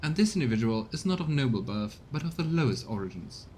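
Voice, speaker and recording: A man's voice: mid-range voice, very animated, fast talker, darkish tone, slight background noise, close to an everyday voice. A man voicing a prim, formal retainer in a video game, wordy and deferential in manner. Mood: officious, formal. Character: prim, formal retainer reporting to his lord.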